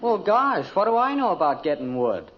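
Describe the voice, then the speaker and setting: An actor playing a lazy, grumbling American teenage son in a vintage radio sitcom. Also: male voice, high-pitched, very animated, moderate pace. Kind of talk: 1940s-50s radio sitcom acting. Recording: muffled, noisy vintage radio recording with clicks.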